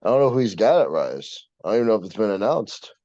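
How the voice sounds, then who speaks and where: A man's voice: lowish voice, big pitch swings, fast talker, dark tone. A young American man chatting casually as a podcast co-host, with a brash, slangy, sweary way of talking. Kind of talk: casual chat. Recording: dull, roomy lo-fi sound.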